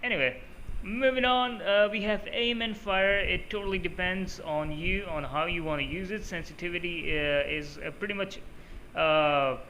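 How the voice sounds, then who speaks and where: A man's voice: high voice, normal speed, sharp tone, a little hiss or hum, rough recording. A man whose first language is Urdu, giving a loose, rambling settings walkthrough over a screen recording, with plenty of filler sounds. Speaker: mobile gaming channel host. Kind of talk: casual off-the-cuff settings walkthrough.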